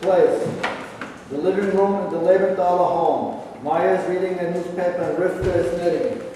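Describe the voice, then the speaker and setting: A man's voice: high voice, fairly even intonation, normal speed. A man reading stage directions as scripted narration at a staged play reading. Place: live staged reading in a hall. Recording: echoey hall, distant mic, clear noise.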